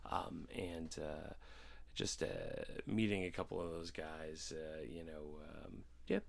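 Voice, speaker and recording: Man, very low pitch, creaky voice, podcast host, rambling, halting, casual. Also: fairly even intonation. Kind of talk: casual interview chat.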